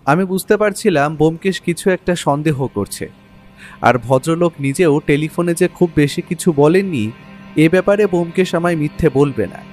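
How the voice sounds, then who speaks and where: A man with a fairly high voice narrates a detective story as an actor playing the sleuth's companion. He is curious and watchful, and music plays underneath. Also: moderate pace, a little mellow, lightly acted. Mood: reflective.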